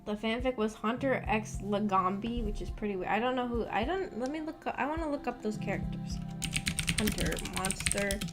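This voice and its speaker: A young woman streamer talks in a casual, rambling way, thinking out loud as she responds to chat. Her voice sits at an average pitch for a woman, and music plays clearly behind her. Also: moderate pace. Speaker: streamer, host of a visual novel book club. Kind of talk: casual livestream chat with viewers. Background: background music.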